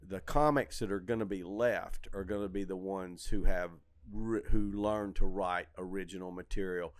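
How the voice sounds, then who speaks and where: A middle-aged man chatting casually as a podcast host, telling stories in an animated, opinionated way and swearing freely. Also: low-pitched, normal speed, no room sound. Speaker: comedy club owner and comedian, podcast host. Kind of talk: casual shop-talk chat.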